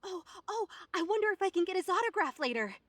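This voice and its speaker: A woman's voice: very high-pitched, very animated, quick pace, a bit bright, dry recording, full character voice. A woman playing a nervous, gossipy, starstruck young tournament onlooker in a video game. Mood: awed.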